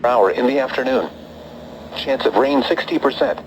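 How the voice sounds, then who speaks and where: A computer-generated male voice reading a weather forecast in a flat, even way, heard through a radio speaker. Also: mid-range voice, normal speed. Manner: flat, evenly paced machine reading. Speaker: automated weather broadcast. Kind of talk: synthetic forecast readout. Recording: played through a radio speaker with loud crowd-like noise.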